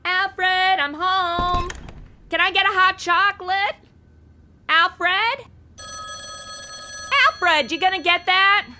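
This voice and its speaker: A woman's voice: very high-pitched, steady tone, takes it slowly, pauses often, sharp tone, slight background noise, full character voice. A woman playing a brash, sarcastic young sidekick who talks in a mouthy, informal way in a comedic superhero audio drama. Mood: sarcastic, annoyed.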